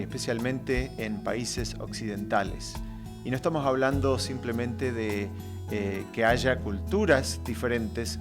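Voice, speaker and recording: A man at a middle pitch hosts a conversational faith podcast. He introduces his guests and asks questions in a measured, friendly manner. Faint music sits under his voice. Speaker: podcast host. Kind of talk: conversational interview.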